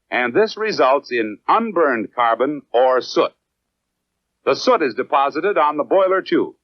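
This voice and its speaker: An American man reads scripted 1950s educational film narration in a measured, clearly enunciated, instructional manner. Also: mid-range voice, no echo. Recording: dry, dull lo-fi 1950s film soundtrack.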